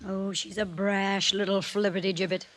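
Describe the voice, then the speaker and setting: A woman's voice: mid-range voice, flat delivery, moderate pace, fairly bright tone, close to an everyday voice. An American actress playing a tough, proud older grandmother in a 1950s radio drama, blunt and authoritative. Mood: sharp, probing. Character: tough, proud, shrewd old widowed ranch grandmother.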